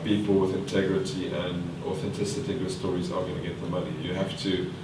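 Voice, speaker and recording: Very deep-voiced man teaching, echoey room. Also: little pitch movement, fast. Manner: thinks aloud with fillers and restarts. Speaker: workshop trainer. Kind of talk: teaching a workshop, quizzing the group. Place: large meeting room with a live group. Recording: echoey room from far off, noisy.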